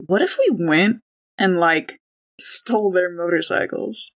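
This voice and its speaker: A woman's voice: on the low side, lively intonation, unhurried. A woman playing in a tabletop roleplaying podcast, talking casually and improvising in a chatty, rambling way. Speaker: player on a tabletop game podcast. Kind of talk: casual in-game chat, improvised.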